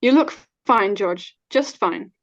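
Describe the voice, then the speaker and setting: An actress playing a calm, reassuring middle-aged wife and mother in an old-time radio drama. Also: medium pitch, takes it slowly. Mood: soothing, brisk. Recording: dull, muffled online recording.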